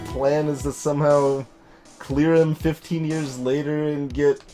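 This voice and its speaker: A man's voice: a little high, flat delivery, unhurried, dark tone, faint music behind. A man giving casual gameplay commentary in a hesitant, stammering, rambling way. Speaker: let's player.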